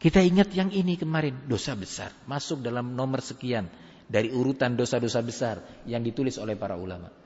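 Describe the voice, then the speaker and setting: A male Islamic preacher speaks in a big echoing hall, the sound slightly muffled. His medium-pitched voice delivers the sermon deliberately, leaning on repeated phrases and Arabic terms for emphasis. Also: darkish tone. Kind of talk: sermon, religious lecture. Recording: dull, reverberant hall recording.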